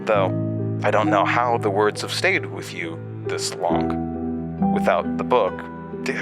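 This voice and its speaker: Man playing a low, cryptic, fractured mind-dwelling entity. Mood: cryptic, confused. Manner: halting, cryptic, swinging from muttering to outbursts. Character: fragmented mysterious male entity implanted in another's mind, volatile. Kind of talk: audio drama acting. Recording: music behind.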